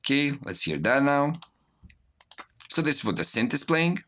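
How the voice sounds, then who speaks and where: A man giving a software tutorial as an instructor, explaining step by step while he demonstrates. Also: medium pitch, big pitch swings, normal speed, a little hiss or hum. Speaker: music production instructor.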